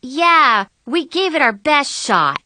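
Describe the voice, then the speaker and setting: A woman's voice: high voice, big pitch swings, slow pace, sharp tone, slight background noise, voice pushed up, heavily acted. A woman playing an outspoken, sarcastic teenage girl with pointed exclamations in an audiobook. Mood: encouraging.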